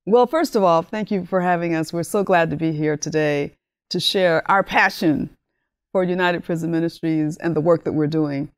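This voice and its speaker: A woman in her sixties, originally from Honduras with Spanish as her native language, speaks in a slightly low voice. She recounts her life with animated warmth, often breaking into laughter. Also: moderate pace, clean tone. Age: 60s. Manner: warm, animated storytelling with laughs. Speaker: guest, ministry leader. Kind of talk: interview talk.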